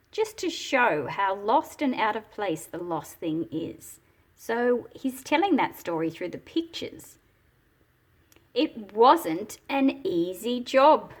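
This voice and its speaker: A woman reading a storybook aloud and explaining it as she goes, in an expressive, deliberate manner with a slightly husky, breathy quality. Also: medium pitch. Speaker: picture book read-aloud host. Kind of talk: storybook read-aloud with teaching commentary.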